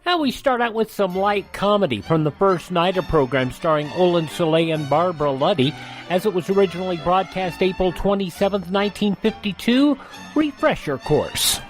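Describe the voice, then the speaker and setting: Casting: male radio host, scripted intro read, high voice, faint music underneath. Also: expressive, normal speed.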